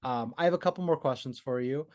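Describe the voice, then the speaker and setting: A man's voice: mid-range voice, fast, a little mellow, no echo, clean studio sound. Upbeat man interviewing on a call. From United States. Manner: enthusiastic, chatty, laughs easily. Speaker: interviewer for a music blog. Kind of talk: casual interview chat.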